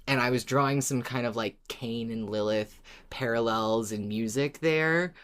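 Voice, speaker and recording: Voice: mid-range voice, unhurried, fairly bright tone, clear, resonant tone. A podcast co-host in a casual fan discussion, rambling tentatively while thinking aloud.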